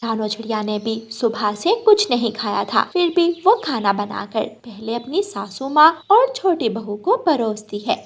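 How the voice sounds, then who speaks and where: Female storybook narrator for cartoons, higher voice, steady. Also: a little slow, hardly any pauses.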